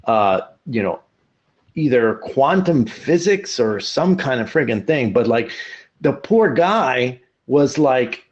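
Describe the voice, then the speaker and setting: A man's voice: mid-range voice, very animated, slow, muffled recording. A man hosting a podcast in casual chat, telling rambling stories full of fillers. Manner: rambling storyteller, many fillers. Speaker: podcast host.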